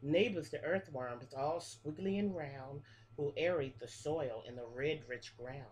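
A woman's voice: low-pitched, measured pace, a little mellow, low-quality recording. A middle-aged woman reading a picture book aloud to preschool children in a sing-song rhythm, stopping to explain things, recorded close on a webcam in a small room. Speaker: pre-K teacher. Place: small room with a classroom wall display. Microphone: webcam at close range.

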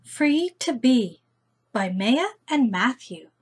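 Slow-reading woman with a husky voice. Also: on the high side, animated. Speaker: storyteller reading a children's book aloud. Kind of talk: children's picture book read-aloud.

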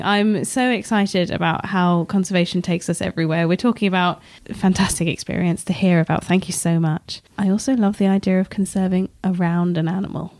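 A woman's voice: medium pitch, a little mellow, no room sound. A woman hosting a podcast, reacting with enthusiasm. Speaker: podcast host.

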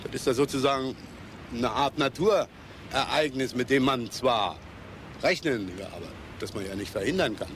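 An actor in a radio play voicing a self-justifying amateur cameraman who talks haltingly and keeps asking for agreement. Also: medium pitch, fairly bright tone, slight background noise, lightly acted. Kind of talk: radio play acting as interviewee.

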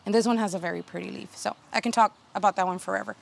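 A woman's voice: mid-range voice, fairly even intonation, racing, vocal fry. A woman in her thirties giving a casual tour of her home garden outdoors, talking in a chatty way with many fillers and restarts. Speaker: garden video host.

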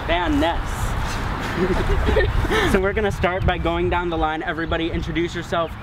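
Young man, host, fairly high voice, outdoor noise behind. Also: animated, normal speed. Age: 20s. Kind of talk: casual outdoor hosting. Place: outdoors in a wooded park.

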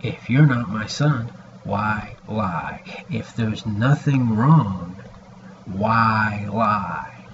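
A man in his forties with a gravelly voice, giving a halting, rambling personal message to a webcam at home. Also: lowish voice, animated. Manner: halting, hesitant, with long pauses. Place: small room indoors at home. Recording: dull lo-fi webcam audio, background noise.

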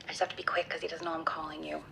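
An actress playing a worried woman who confides quietly and hesitantly, speaking in secret. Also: deep voice, flat delivery, very fast, creaky, noisy background. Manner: hushed, hesitant.

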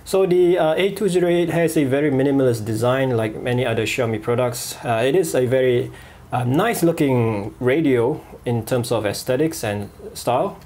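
A man reviewing a walkie-talkie at a desk, pausing often with fillers and restarts. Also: mid-range voice, a little mellow, slight background noise. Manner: halting, many fillers and restarts. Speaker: gadget reviewer. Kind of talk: product review voice-over.

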